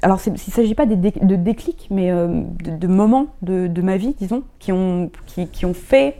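A woman's voice: mid-range voice, moderate pace, dark tone. A middle-aged woman who grew up in Germany, talking as an interview guest in a hesitant way, with many restarts and fillers. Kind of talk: casual interview talk.

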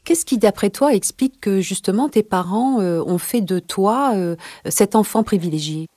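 A woman hosting a podcast, reading an introduction and asking calm, measured interview questions. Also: medium pitch, dry recording, clean studio sound. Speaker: podcast host.

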